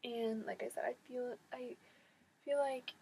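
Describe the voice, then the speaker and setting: A woman's voice: a little high, fairly even intonation, a little slow, mellow, a little hiss or hum, poor audio. A woman losing her voice, talking in a casual, reflective monologue, hesitant and often restarting her thoughts. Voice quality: husky, voice cracking in and out. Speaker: vlogger.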